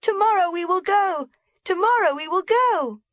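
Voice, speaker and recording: A woman's voice: high voice, sing-song, measured pace, a bit bright, no echo, pitched above the performer's own voice, heavily acted. A woman voicing an eager, pleading little girl in a storybook reading. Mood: overjoyed. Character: bright excited little girl. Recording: dry, slightly dull recording.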